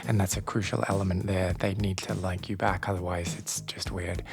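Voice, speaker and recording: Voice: low-pitched, level tone, brisk, gravelly, music in the background. A podcast host opening the show with a scripted intro, then chatting casually, dropping wry self-deprecating asides.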